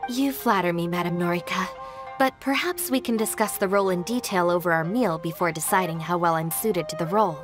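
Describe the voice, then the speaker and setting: A woman playing a composed, modest young professional in a video game, polite, measured and formal. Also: lowish voice, close to an everyday voice. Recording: music behind the voice.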